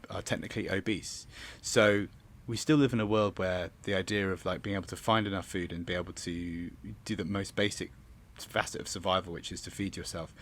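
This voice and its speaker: Low-voiced man, rambling and conversational. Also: fairly bright tone, slight background noise. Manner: rambling, hedging with many 'sort of's. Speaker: guest, theatre director. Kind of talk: interview answer, conversational.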